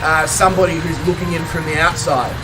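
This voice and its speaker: Croaky high-voiced Australian man. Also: fairly even intonation, fairly quick, distant mic, music in the background, rough recording. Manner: chatty, rambling, chuckles between remarks. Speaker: game streamer. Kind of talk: casual chat with live viewers.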